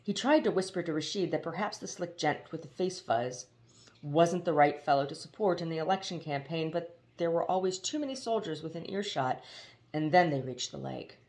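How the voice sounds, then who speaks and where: A woman reading a novel aloud as expressive storybook narration. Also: low-pitched, normal speed. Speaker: audiobook reader.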